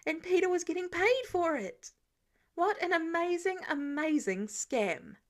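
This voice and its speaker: A woman reading a story aloud as expressive scripted narration, in the manner of an audiobook. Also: very high-pitched, a little slow. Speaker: fan fiction audiobook reader.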